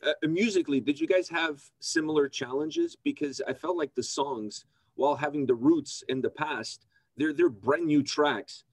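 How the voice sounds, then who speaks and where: A man's voice: fairly high pitch, normal speed, no room sound. A man interviewing a musician, asking long, enthusiastic, rambling questions. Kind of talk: casual interview.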